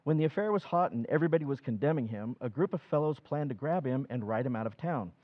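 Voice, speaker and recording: Actor as an earnest, guilt-ridden husband in an old-time radio play, dull lo-fi sound. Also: male voice, mid-range voice, lively intonation, quick pace, close to an everyday voice. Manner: earnest, confiding. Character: anxious small-town husband, middle-aged, guilt-ridden.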